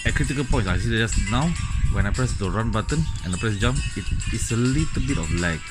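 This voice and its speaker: A low-voiced man with a Singaporean English accent talks through a hands-on gadget demo, chatty and full of okays. Loud game music plays behind him the whole time. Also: animated, fast. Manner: chatty, thinking aloud with many okays and fillers. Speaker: gadget reviewer. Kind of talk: casual hands-on product demo.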